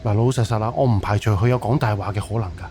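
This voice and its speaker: A man's voice: fairly low pitch, very fast, warm tone, slight background noise, natural voice. A man playing an earnest, helpful amateur sleuth in an audio drama, talking casually and persuasively. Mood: candid. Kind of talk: Cantonese audio drama acting.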